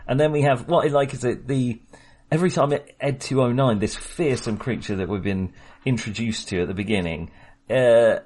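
A man's voice: medium pitch, normal speed, a little mellow. A man co-hosting a casual film podcast, talking with many fillers and small laughs. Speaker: podcast co-host.

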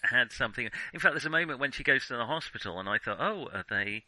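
A man's voice: mid-range voice, expressive, quick pace, pushed and bright, no echo, low-quality recording. A man talking through film criticism off the cuff, reflective and hesitant, often qualifying what he says. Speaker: film discussion podcast host. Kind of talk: unscripted film criticism discussion.

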